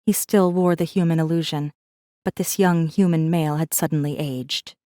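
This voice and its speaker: A woman's voice: low voice, fairly even intonation, moderate pace, dark tone, dry recording, clean studio sound, close to an everyday voice. A woman narrating an audiobook in the first person as a guarded, defiant rebel messenger, controlled and wry. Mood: guarded, observant.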